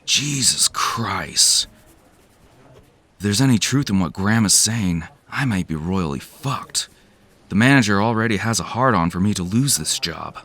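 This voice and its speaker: A man's voice: on the low side, lively intonation, measured pace, bright, forceful tone, heavily acted. A man playing a jaded, cynical office worker who narrates an audio drama in a weary, sardonic, profane way.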